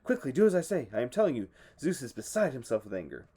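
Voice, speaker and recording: A man voicing a distraught queen goddess giving hurried, anxious orders. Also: medium pitch, big pitch swings, dark tone, slightly rough, pitched above the performer's own voice.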